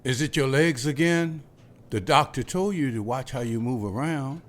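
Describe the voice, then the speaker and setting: A man's voice: medium pitch, normal speed, natural voice. A man reading the part of a caring, dutiful grown son in a table read, in an earnest, reassuring manner. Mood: concerned.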